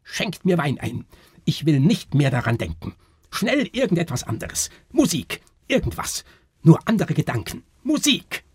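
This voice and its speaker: Man acting a husky, haunted doctor. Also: on the high side, sing-song, no echo, studio quality, voice pushed up, heavily acted. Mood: frantic, shouting. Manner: halting, intense, dramatic. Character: haunted, brooding male doctor recounting horrors.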